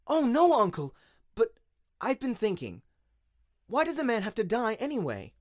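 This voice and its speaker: Eager, high-pitched young boy. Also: moderate pace, dry recording, studio quality, exaggerated voice. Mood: earnest, insistent. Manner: excited, insistent, earnest. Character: eager, bright young boy, naive apprentice nephew.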